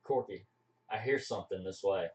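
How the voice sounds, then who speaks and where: A man's voice: deep voice, moderate pace, a little mellow, distant mic, close to an everyday voice. A man playing a skeptical, grumbling appliance repairman in an amateur live radio play, dismissive and declaiming. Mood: gruff suspicion. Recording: echoey room, off mic, music behind.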